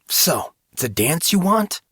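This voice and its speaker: A man's voice: fairly high pitch, sing-song, a little slow, fairly bright tone, breathy edge, no echo, voice pushed up, exaggerated voice. Man voicing a cocky, sarcastic young hero. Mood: determined, teasing. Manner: dry, teasing, smug.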